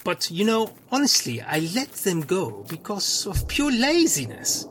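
A man playing a theatrical, pompous, lazy villain in a comedy audio drama, with drawn-out menacing delivery. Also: high-pitched, huge pitch swings, full character voice. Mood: pompous, indolent. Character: theatrical, pompous, lazy male villain holding captives at gunpoint. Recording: background ambience, noisy.

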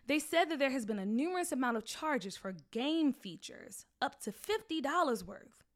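A woman's voice: sits high, expressive, moderate pace, pitched above the performer's own voice. A woman playing a stern, protective mom. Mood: probing. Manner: firm, scolding.

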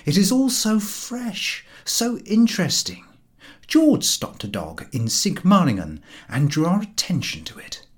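A man reading comic prose as an audiobook, expressive and theatrical, with measured phrases and emphatic pauses. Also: sits high. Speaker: audiobook narrator.

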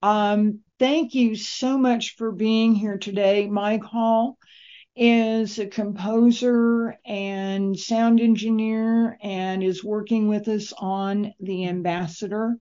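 A woman hosting a conversational interview as the interviewer, speaking in a measured way. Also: mid-range voice, fairly even intonation, mellow. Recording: dull lo-fi audio.

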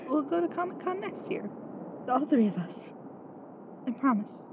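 An actress in an audio drama playing a loyal, protective friend at the wheel, wry, blunt and stressed. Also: female voice, high voice, animated, normal speed. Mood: firm, loyal. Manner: wry teasing, blunt, tense under pressure. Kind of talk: naturalistic audio drama acting. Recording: muffled, loud vehicle noise. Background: vehicle driving noise.

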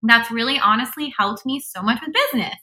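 A woman's voice: on the high side, quick pace, bright, forceful tone. A woman in her thirties chatting earnestly about motivation and gratitude in an upbeat, heartfelt way. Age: 30s. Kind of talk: casual podcast chat.

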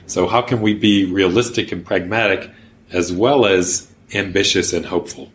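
A man's voice: low-pitched, fairly even intonation, normal speed. A man explaining his thinking in a podcast conversation, earnest and pragmatic, who also leads a workshop. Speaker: workshop organizer.

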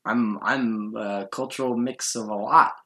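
A man's voice: lowish voice, steady tone, unhurried, bright, forceful tone. A man chatting casually as a podcast host, informal and wryly joking. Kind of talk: casual chat. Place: room in an apartment. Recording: roomy, echoey sound.

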